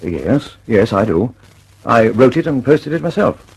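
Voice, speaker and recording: An actor in an old radio drama playing an absent-minded, mild-mannered inventor who speaks politely and hesitantly. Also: male voice, medium pitch, big pitch swings, measured pace, creaky, darkish tone, breathy edge, heavily acted, low-quality recording. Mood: mild, puzzled. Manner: hesitant, polite, mumbling fillers.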